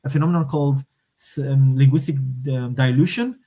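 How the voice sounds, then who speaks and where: A man's voice: mid-range voice, fairly quick, dark tone. A man from Morocco, a native speaker of Moroccan Arabic and French, talking as an interview guest, thoughtful, often restarting and searching for words. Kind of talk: conversational interview.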